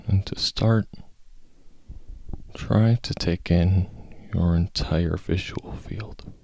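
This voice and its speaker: A man leading a guided meditation, speaking in a calm, measured, gently instructive manner with long pauses. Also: low-pitched, creaky, slight background noise.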